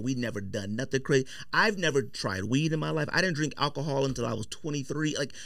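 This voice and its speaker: Man, podcast co-host, emphatic, repetitive, argumentative, very animated intonation, close dry sound. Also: mid-range voice, dry recording. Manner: emphatic, repeats words, argumentative. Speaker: sports and sneaker podcast co-host. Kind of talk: heated casual debate. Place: podcast studio.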